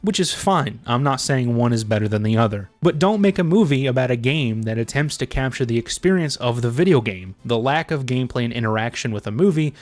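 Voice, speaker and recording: A man's voice: mid-range voice, expressive, fairly quick, few pauses, no echo, soft background music. Blunt man giving dry, close-miked commentary. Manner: blunt, sarcastic, heavily profane. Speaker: video essay host. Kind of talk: casual opinionated commentary.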